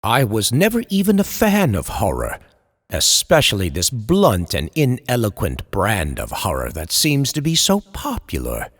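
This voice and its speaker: Drenched in long reverb, a man acts out a tormented horror writer confessing his slide into madness. He speaks slowly and eloquently, with theatrical swings of pitch, in the manner of an old time radio chiller. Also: wildly swooping pitch, a bit bright, full character voice. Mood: bitter, disgusted. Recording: long reverberant hall echo.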